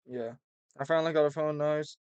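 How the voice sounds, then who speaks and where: A man's voice: a little high, fairly even intonation, fairly quick, a little mellow, smooth, dry recording. A man hosting a livestream, chatting casually as he reacts to a video. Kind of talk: casual chat over a reaction stream.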